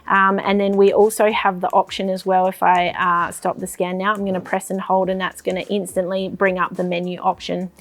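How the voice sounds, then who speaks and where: A woman's voice: medium pitch, little pitch movement, fairly quick, hardly any pauses. An Australian woman presenting an informal, unscripted product demonstration, explaining step by step with some hesitation. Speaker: product presenter for an outdoor shop's channel.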